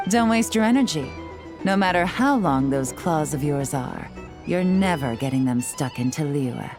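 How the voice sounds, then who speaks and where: A woman's voice: sits low, lively intonation, normal speed, over music. A woman playing a shrewd government official in a video game, wry, blunt and guarded. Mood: wry, wary. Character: shrewd, dry-witted female government official.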